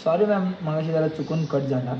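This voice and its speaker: A man's voice: a little high, normal speed, close to an everyday voice. A man with a Maharashtrian accent playing a polite bank loan officer on a phone call. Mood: formal, polite. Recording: muffled audio with clear background noise.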